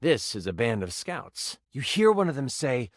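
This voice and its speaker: A man's voice: medium pitch, big pitch swings, normal speed, no room sound, clean studio sound. An actor playing a game master, a man narrating a fantasy quest in a theatrical, suspenseful and emphatic way.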